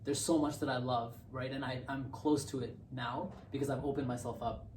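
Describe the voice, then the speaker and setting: A young man from Bangladesh, raised in Canada, talking as a podcast guest in a thoughtful, halting way and repeating words while he thinks. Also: mid-range voice, steady tone, recorded from a distance. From born in Bangladesh, raised in Canada. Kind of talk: casual conversational interview. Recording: roomy, off-mic, faint hiss.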